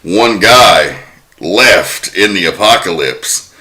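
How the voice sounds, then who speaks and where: A man's voice: deep voice, animated, slow, pushed and bright. A man hosting a podcast, casually walking through researched facts about an old film. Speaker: podcast host. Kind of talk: casual film-history chat.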